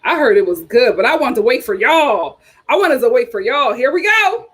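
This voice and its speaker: A woman's voice: on the high side, very animated, normal speed, fairly bright tone, husky. A woman hosting a casual reality TV reaction chat, talking back at the screen. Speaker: reality TV commentary host.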